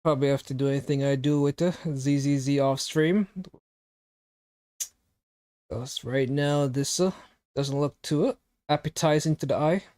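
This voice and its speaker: A man's voice: medium pitch, moderate pace, pauses often, darkish tone. A young man streaming a game, chatting casually and teasing his chat with jokes. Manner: joking, teasing, reacting to chat.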